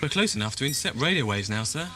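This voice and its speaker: A man playing a dutiful, chatty starship officer in a science fiction audio drama, reporting crisply and formally. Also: medium pitch, very animated, racing, natural voice. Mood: brisk, dutiful. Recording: music-backed amateur 1980s audio drama. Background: music.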